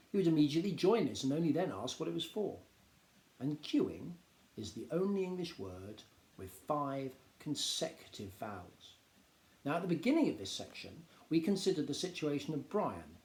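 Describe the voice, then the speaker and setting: A man reading a popular non-fiction book aloud like a teacher, explaining in a measured, expressive way. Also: on the high side. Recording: echoey, roomy sound.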